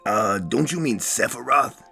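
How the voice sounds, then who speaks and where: Guy doing brash big game rebel, excitable n blunt, gravelly, jumps all over, music behind. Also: medium pitch, measured pace, heavily acted. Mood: protesting. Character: loud, brash, hot-tempered big man, rebel comrade.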